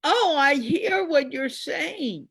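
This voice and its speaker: A woman hosting a conversational interview, speaking in a deliberate, affirming way. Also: high voice, animated, fairly bright tone, dry recording. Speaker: host.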